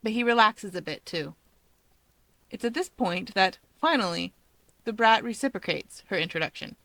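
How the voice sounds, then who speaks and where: A woman reads fiction as a narrator, expressively and from a script. Her voice is bright and animated, and the sound is close and dry. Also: medium pitch, normal speed, no room sound. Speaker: story narrator.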